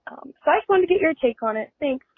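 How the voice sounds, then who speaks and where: Creaky American woman on tinny phone voicemail. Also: high voice, lively intonation, fast, no room sound. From Ohio, United States. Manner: opinionated, blunt, slangy. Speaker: voicemail caller, baseball fan. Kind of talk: casual voicemail message.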